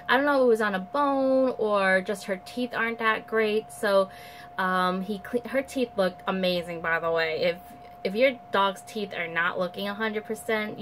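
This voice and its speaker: A young woman with a nasal, stuffed-up voice rambles casually to her camera at home. She restarts sentences often and fills pauses with "um". A faint hum sits under the close, indoor recording. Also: mid-range voice, a bit bright. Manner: chatty, rambling with many fillers and restarts. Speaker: vlogger. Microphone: camera at close range.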